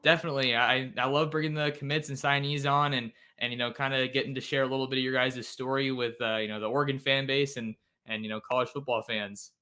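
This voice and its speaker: Brief: male podcast host, forceful bright tone, friendly, stumbles into his questions. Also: medium pitch, little pitch movement, quick pace, few pauses. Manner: friendly, restarts often. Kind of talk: casual interview.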